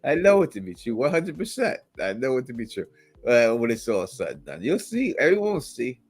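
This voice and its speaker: A man's voice: mid-range voice, sing-song, brisk, darkish tone, slightly rough, dry recording. Emphatic man insisting on his theories. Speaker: co-host breaking down a trailer. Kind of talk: casual podcast chat.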